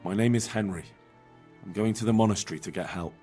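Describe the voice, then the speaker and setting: Brisk, low-voiced young medieval envoy played by a man. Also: warm tone, soft background music, natural voice. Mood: matter-of-fact. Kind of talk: video game voice acting.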